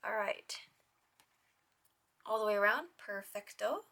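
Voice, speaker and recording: A young woman with a hoarse, raspy voice hosts a casual crochet tutorial. She chats with a lot of "like" and counts her stitches in a soft whisper. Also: mid-range voice, expressive, slow pace. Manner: chatty, with lots of "like".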